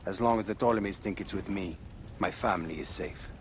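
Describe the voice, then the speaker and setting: An actor playing a weary, guarded rebel fighter on the run, speaking in a measured, dramatic way in a game cutscene. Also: male voice, sits low, a little hiss or hum, lightly acted. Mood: grave, conspiratorial.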